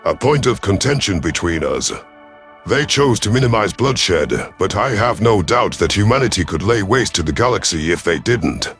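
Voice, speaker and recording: A man as a deep, composed veteran alien hunter. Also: lively intonation, normal speed, a bit bright, soft background music. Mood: grave, confident. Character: older male alien reptilian chief hunter, cunning, composed veteran.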